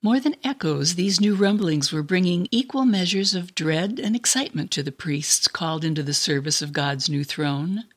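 A woman reading a scripted dramatic narration in an expressive, storybook manner. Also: fairly low pitch, moderate pace, hardly any pauses.

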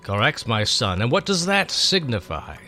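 Man playing a wise, fatherly old seer, animated pitch. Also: faint music behind. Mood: gently instructive. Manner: measured, fatherly, instructive. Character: wise old male seer, kindly patient mentor. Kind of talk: dramatic storybook reading.

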